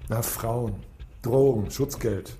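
An actor playing an impatient, sarcastic police detective in charge in a radio drama, brusque and commanding. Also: male voice, mid-range voice, takes it slowly, dark tone, reverberant, slight background noise, lightly acted. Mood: pressing, stern.

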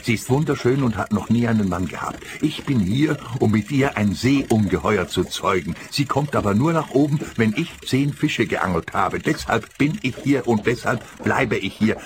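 A man's voice: mid-range voice, animated, moderate pace, few pauses, slight background noise, close to an everyday voice. An actor playing a grumpy, secretive older man in a radio play, curt and defensive. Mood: grudging, absurdly earnest.

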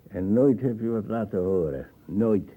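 An actor playing a suspicious, cantankerous old colonial uncle with a gravelly, husky voice in a radio drama. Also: male voice, on the low side, expressive, unhurried, narrow, tinny audio. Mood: bitter. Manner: brooding, cantankerous.

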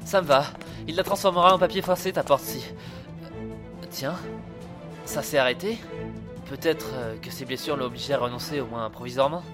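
A man's voice: mid-range voice, brisk, close to an everyday voice. A man acting an anxious but brave, wry inventor brother in an audio drama, given to grumbling. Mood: tense, wary. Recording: loud music behind.

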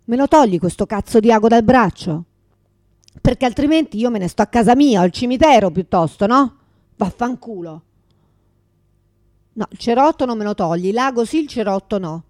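A woman's voice: on the high side, lively intonation, moderate pace, a little mellow, no room sound, clean studio sound, natural voice. An actress playing a confused, forgetful mother in a radio theatre monologue, exhausted, bitter and pleading, speaking in repetitive fragments. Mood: agitated pleading. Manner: fragmented, repetitive, swearing, swinging from whispers to outbursts.

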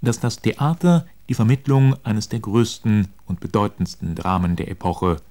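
A man reading scripted quotations for a radio feature in a formal, deliberate manner. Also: fairly low pitch, darkish tone.

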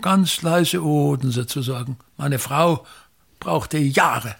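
Casting: overbearing old Austrian stage impresario for radio play, husky and higher-set, grandly declaiming his grievances. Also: fairly bright tone, heavily acted. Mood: self-important. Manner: declamatory, drawn-out emphatic words, sudden swells and drops. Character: pompous, tyrannical, misogynist old actor-manager, endlessly grumbling. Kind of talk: theatrical radio drama monologue.

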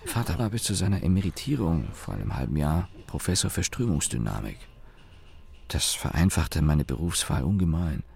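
A sardonic young engineer in a radio drama. His voice is very low and gravelly, with lively intonation. He tells his story wryly and deliberately, pausing and correcting himself as he goes. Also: heavily acted. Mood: wry, reflective. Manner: wry, deliberate, with pauses and self-corrections. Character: young engineer, sardonic company employee recalling his father. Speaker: narrator and lead actor. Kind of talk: German radio play monologue, reflective storytelling.

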